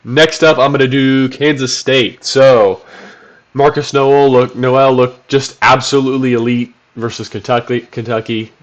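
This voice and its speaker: A man's voice: medium pitch, fairly even intonation, a little slow. A man hosting his own basketball channel, sounding congested from a cold, talking casually with lots of ums and restarts. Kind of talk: casual solo commentary video.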